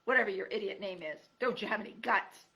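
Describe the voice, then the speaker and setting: A woman's voice: mid-range voice, fast talker, pushed and bright, muffled recording. A woman voicing a grumpy old male porcupine who bellows and screeches insults in a storybook reading. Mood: gruff scornful.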